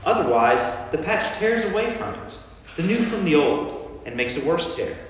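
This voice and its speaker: A man playing Jesus as an earnest, firm teacher in a staged scripture reading, speaking deliberately and emphatically. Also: fairly high pitch, big pitch swings, slight background noise. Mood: instructive. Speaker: lay reader in a staged church reading. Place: large church interior. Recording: very echoey church, distant mic.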